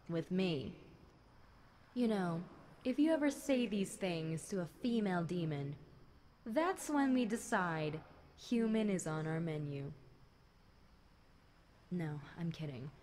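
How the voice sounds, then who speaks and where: A woman plays a centuries-old demon girl with a slightly low voice and an unhurried, slow delivery. She is chatty, smug and teasing, speaking casually to the listener as in an audio roleplay, over clearly audible background noise. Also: a little mellow. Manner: casual, teasing, conversational with light chuckles.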